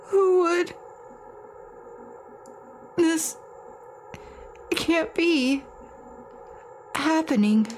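A woman voicing a frightened teenage schoolboy trapped in a horror game, speaking in halting, panicky phrases. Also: very high-pitched, expressive, faint music behind, pitched above the performer's own voice, heavily acted. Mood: panicked, strained.

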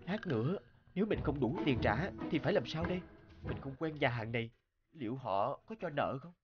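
A man's voice: medium pitch, expressive, a little fast, dull audio, music in the background, close to an everyday voice. A man voicing an earnest, humble young male hero in a cartoon, in both dialogue and storytelling. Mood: worried.

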